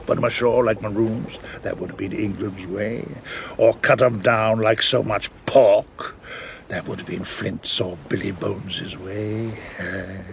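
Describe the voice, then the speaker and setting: An actor playing a cunning, menacing pirate ringleader with a falsely genteel manner and old seafaring dialect in an audio drama. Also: male voice, fairly low pitch, unhurried. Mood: coolly menacing. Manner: old seafaring dialect, wheedling and threatening by turns. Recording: steady clear background noise.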